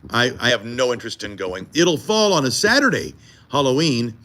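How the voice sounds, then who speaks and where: A man's voice: mid-range voice, very animated, normal speed, bright, forceful tone. A man co-hosting a live morning TV show from the studio, joking and teasing with a self-mocking manner. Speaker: morning TV news co-host. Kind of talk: live behind-the-scenes morning show banter. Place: TV studio during a live broadcast.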